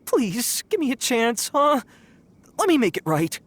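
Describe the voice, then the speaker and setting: A man's voice: very high voice, big pitch swings, measured pace, a bit bright, dry recording, heavily acted. A man voicing a cowardly young bandit in a video game, nervous and stammering, pleading and sheepish. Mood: remorseful, anxious. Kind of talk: dramatic video game voice acting.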